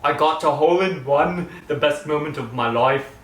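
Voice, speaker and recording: A man's voice: a little high, normal speed. Playful man vlogging in an echoey room. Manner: playful, theatrical, jumps between loud and quiet; slips into a put-on British-style accent at times. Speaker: channel host. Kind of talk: casual vlog update. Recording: roomy, distant mic, faint room noise.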